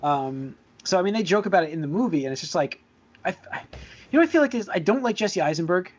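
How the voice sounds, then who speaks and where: Higher-voiced man podcasting, slightly muffled. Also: very animated, slight background noise. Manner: halting, hedging, repeats words. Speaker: podcast host. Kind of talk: casual chat about movies and shows. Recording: dull lo-fi voice recording.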